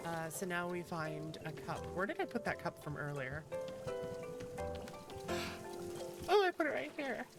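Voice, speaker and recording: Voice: medium pitch, animated, measured pace, long pauses. A playful, chatty VTuber streamer talking casually to viewers while playing a game, in a mid-range voice. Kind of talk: casual livestream chat, reading game dialogue aloud. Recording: music behind the voice. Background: game music.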